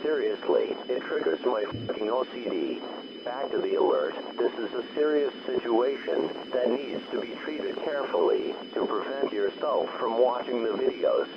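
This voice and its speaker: A man's voice: mid-range voice, lively intonation, normal speed. A man reading a scripted emergency alert as an announcer. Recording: muffled alert broadcast with loud noise.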